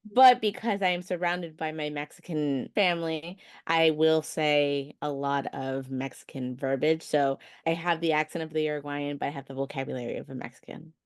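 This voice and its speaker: Low-voiced woman co-host, dry sound. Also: fairly even intonation, moderate pace, hardly any pauses, clean tone, dry recording. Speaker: podcast co-host. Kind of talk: casual podcast chat.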